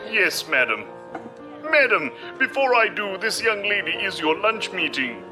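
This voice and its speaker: A man's voice: high-pitched, very animated, a little slow, music in the background, poor audio. A man acting a polite, deferential personal servant in an audio drama, speaking formally. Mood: deferential, composed.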